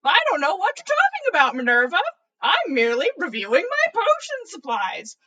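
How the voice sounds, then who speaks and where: A playful old wizard headmaster acted out by a woman in an amateur storybook reading. The voice is pitched high and pushed loud. He protests with emphatic mock innocence. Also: huge pitch swings, moderate pace, heavily acted. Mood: mock innocent.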